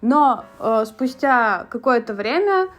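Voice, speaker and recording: A woman's voice: fairly high pitch, lively intonation, takes it slowly. A young woman from Russia talking in a chatty, casual vlog style, with fillers and asides. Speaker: beauty vlogger.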